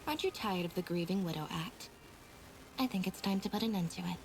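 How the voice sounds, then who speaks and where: A woman playing a cool, composed young magician's assistant in an anime video game, speaking in a measured, deadpan way. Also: fairly low pitch, slight background noise, lightly acted, rough recording. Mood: cold, accusing. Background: music.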